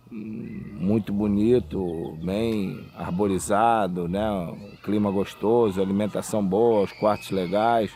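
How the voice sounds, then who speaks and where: A man with a low, gravelly voice recalls his playing days at an easy pace, tagging his remarks with little questions. Soft music runs faintly behind him. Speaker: interviewee, former player. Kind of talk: reminiscing documentary interview.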